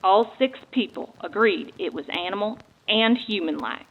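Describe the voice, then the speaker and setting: A woman's voice: mid-range voice, lively intonation, moderate pace, slight background noise, natural voice. A woman acting out a folksy Texan lady private eye on a monster hunt. She tells her own story earnestly, like a memoir read aloud for drama. The recording is slightly muffled and lo-fi. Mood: earnest, resolute. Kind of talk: dramatic reading of a first-person memoir.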